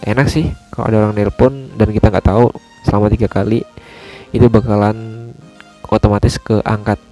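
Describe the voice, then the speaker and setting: A man talks through a product review in an informal, explanatory way. His voice sits fairly low and has a very mellow, dark tone. He restates points for emphasis, with faint music behind him. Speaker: motovlogger reviewing gear.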